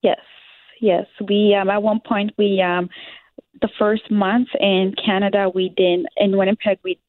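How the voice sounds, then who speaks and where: A woman's voice: mid-range voice, level tone, a little slow, no room sound. A young woman from Liberia with a Liberian English accent, answering interview questions hesitantly with many fillers and laughs. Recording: dry, phone-like sound.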